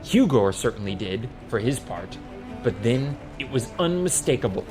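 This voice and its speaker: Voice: medium pitch, sing-song, normal speed. An audiobook narrator reading a scripted fantasy story in a dramatic storytelling style. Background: music.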